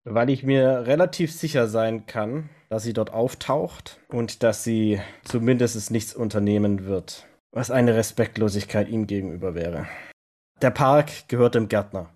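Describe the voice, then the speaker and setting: A resolute male vampire, played by a man in a tabletop audio drama. The voice sits somewhat low. He talks in a measured, matter-of-fact and firm way. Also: darkish tone, natural voice. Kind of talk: improvised tabletop role-play audio drama.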